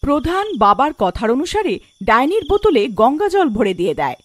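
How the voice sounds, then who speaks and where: A woman's voice: sits high, big pitch swings, brisk. A woman reading scripted fairy tale narration as a storyteller.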